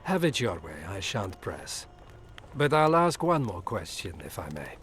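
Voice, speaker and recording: An actor playing a suave, middle-aged imperial ambassador in a video game, formal, measured and insinuating. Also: male voice, fairly low pitch, very animated, a bit bright, a little hiss or hum. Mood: politely probing. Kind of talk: video game dialogue acting. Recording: music behind the voice.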